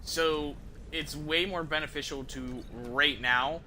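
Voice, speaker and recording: A man's voice: a little high, fairly even intonation, takes it slowly, sharp tone, a little hiss or hum. A man doing casual, profane and slangy commentary as he livestreams a video game. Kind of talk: casual gameplay commentary to stream viewers.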